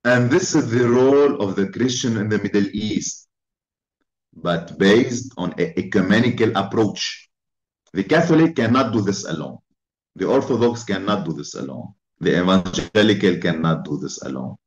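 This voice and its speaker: A man giving a formal conference talk, speaking in slow, emphatic phrases. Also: fairly low pitch, animated, reverberant, dull audio. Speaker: invited speaker.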